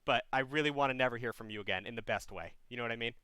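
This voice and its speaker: A young man with a bright, ringing voice hosts a call-in advice stream. He talks with big, lively swings in pitch and blunt, animated energy. The sound is close and dry. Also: very fast, smooth, dry recording. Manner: animated, blunt, mock-preacher. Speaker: advice hotline host.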